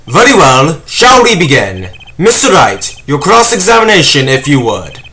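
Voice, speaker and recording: A man voices an elderly game judge, courteous and ponderous. He muses formally with lively rises and falls in pitch, over faint music. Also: heavily acted. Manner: formal, deliberate, musing. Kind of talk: video game fan dub acting.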